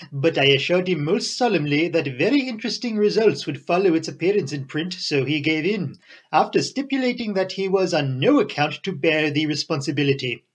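A man's voice: fairly high pitch, lightly acted. A man voicing a fussy, genial foreign detective with florid, formal phrasing in an audiobook. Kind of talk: audiobook character voice.

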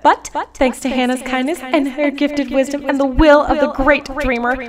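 A woman's voice: high-pitched, flat delivery, normal speed, natural voice. A woman voicing a sweetly fanatical mother newly converted to a cult, in an audio drama. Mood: devout, eager. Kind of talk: fan-made audio drama acting. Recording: loud music and crowd behind.

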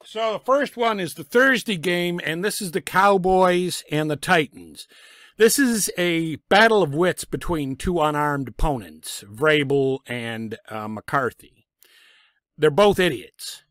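A middle-aged man with a raspy voice from a lingering cough, giving blunt, sarcastic football picks from a small home room on a desk microphone. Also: a little high, takes it slowly, a bit bright, dry recording, clean studio sound. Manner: blunt, sarcastic about teams and coaches. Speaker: sports picks vlogger. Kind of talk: casual football picks commentary. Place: small home room full of sports memorabilia. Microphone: desk microphone in front of him.

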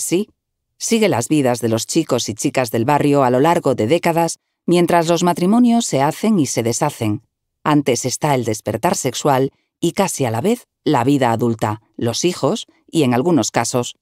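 A woman narrating a written article in an even, measured reading style, recorded close to the mic. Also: a bass voice, no room sound.

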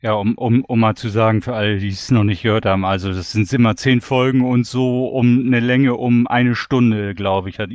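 A man's voice: mid-range voice, fairly even intonation, moderate pace, few pauses, darkish tone, dry recording, studio quality. A man hosting a casual podcast interview in conversational speech. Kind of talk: casual talk show chat.

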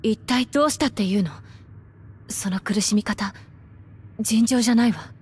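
A woman's voice: on the high side, normal speed, darkish tone, breathy edge. A woman playing a serious, resolute young female soldier in an anime game, composed and direct. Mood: concerned. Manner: composed, matter-of-fact. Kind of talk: Japanese anime game voice acting. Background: game music.

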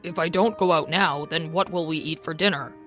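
A woman's voice: low-pitched, a little fast, voice pushed down, lightly acted. A woman voicing a playful, teasing young man with a boastful manner. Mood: calm. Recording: faint music behind.

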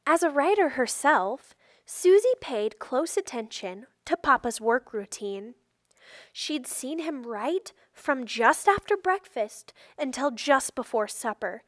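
A woman reading a children's picture book aloud expressively, with deliberate phrasing and emphatic stresses. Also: high voice, dry recording. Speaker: storybook reader.

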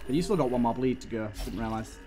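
A man's voice: mid-range voice, quick pace, warm tone, faint music behind. A man giving casual gameplay commentary, thinking aloud and talking to the game's characters. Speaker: gaming video host.

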